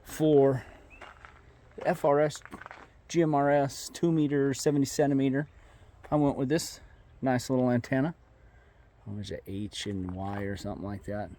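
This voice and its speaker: A man's voice: medium pitch, steady tone, moderate pace, pauses often, mellow, slight background noise. A man giving a casual how-to walkthrough of his own vehicle work, plainspoken and folksy, trailing off and restarting as he points things out, recorded outdoors on a camera mic while he moves around. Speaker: car modification vlogger.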